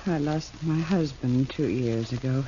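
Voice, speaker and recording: A tender, sorrowing pioneer mother in a radio western. Her voice is dark, soft and hesitant. The sound is lo-fi with a faint hiss. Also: rumbling low, unhurried. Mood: sad, tender. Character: gentle, sorrowful frontier widow and mother. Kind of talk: 1950s radio drama acting. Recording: dull, hissy old radio recording.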